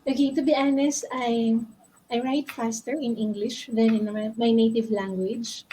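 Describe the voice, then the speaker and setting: A woman from the Philippines speaks with a Filipino accent on a panel. Her tone is mellow and earnest as she explains things, with a faint hiss of ambience behind her. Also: a little high, steady tone, normal speed, poor audio. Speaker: author on a panel. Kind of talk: panel discussion answer.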